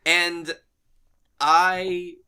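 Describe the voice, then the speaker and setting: A man co-hosting a comedy podcast, telling casual stories in an animated, playfully exaggerated way. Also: high voice, very slow, smooth. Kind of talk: casual comic storytelling.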